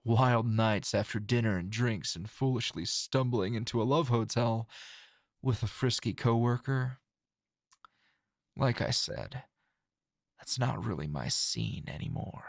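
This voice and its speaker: A man playing a weary, flirtatious male teacher in an intimate ASMR roleplay, with a languid, dry drawl full of sighs and pauses. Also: mid-range voice, gravelly, no echo. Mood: teasing, soothing.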